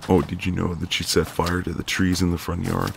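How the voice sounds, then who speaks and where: Very deep-voiced gallant neighbour guy played by a man. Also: monotone, fairly quick, gravelly, background noise. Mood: friendly, chatty. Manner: easygoing, hesitant, conversational, gently teasing. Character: friendly, gallant, teasing ex-marine male neighbour. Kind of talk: intimate ASMR roleplay, one side of a conversation.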